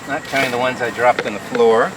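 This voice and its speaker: A man's voice: medium pitch, steady tone, fairly quick. A man hosting a show with casual, off-the-cuff live patter. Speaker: host performing a card trick. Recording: very noisy live recording.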